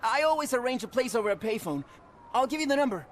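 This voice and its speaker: A man's voice: very high-pitched, animated, quick pace, slight background noise. A man playing an easily frightened but defiant small-time criminal in a video game, streetwise, pleading and blustering. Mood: scared.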